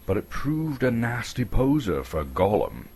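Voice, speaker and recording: A man from Canada narrating a story as a theatrical, expressive dramatic reading. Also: mid-range voice, measured pace, slightly rough.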